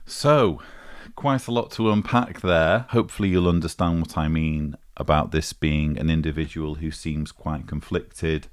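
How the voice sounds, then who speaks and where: A man hosting a vlog, giving measured, articulate commentary in formal phrasing as he replies to a message. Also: extremely low pitch, clear, resonant tone. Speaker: vlog host. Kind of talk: measured spoken commentary replying to a voicemail.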